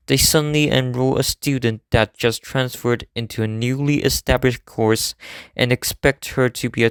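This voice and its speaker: Smooth, earnest teenage idol student played by a man. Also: mid-range voice, no room sound, natural voice. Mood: serious, explanatory. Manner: formal, measured, long-winded explaining. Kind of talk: scripted anime game fan dub acting.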